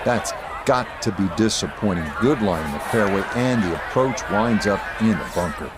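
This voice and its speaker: An actor playing a calm male golf announcer, giving measured play-by-play in a sports game, with music and crowd noise behind him. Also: lowish voice, close to an everyday voice. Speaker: golf commentator. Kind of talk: scripted sports commentary.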